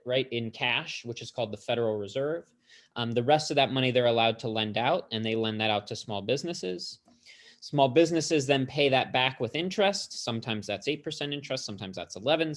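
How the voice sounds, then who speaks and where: A man's voice: medium pitch, a bit bright. A man from an investment platform walking viewers through how to buy small business bonds, explaining steadily with frequent "um"s. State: slightly losing his voice, clearing throat. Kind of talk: explanatory walkthrough.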